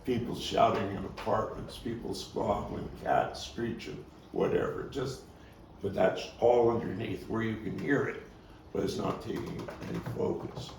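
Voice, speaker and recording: A man talking through the stage directions of a play in a halting manner, as its playwright and actor. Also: on the low side, animated, gravelly, darkish tone. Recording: roomy, noisy, distant microphone.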